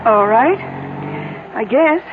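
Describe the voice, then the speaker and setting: A principled, stubbornly brave widow in a vintage radio play. She speaks earnestly in a high voice, hesitant yet determined. The sound is thin and narrow, with faint noise. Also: lively intonation, slow pace. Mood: uneasy. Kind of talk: old-time radio drama acting. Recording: tinny, noisy vintage radio broadcast.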